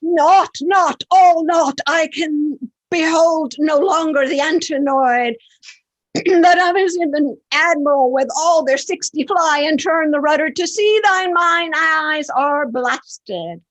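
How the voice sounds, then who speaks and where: A woman's voice: high voice, takes it slowly, low-quality recording. A woman playing a loyal, worried male officer in a Shakespearean radio play, earnest and declamatory. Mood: horrified. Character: loyal, worried male military officer advising his general.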